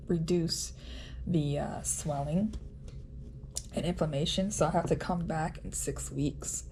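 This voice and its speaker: A woman giving a casual vlog update in a nasal voice, chatty and halting with frequent ums. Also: sits low, creaky, dark tone, a little hiss or hum, poor audio. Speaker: vlogger.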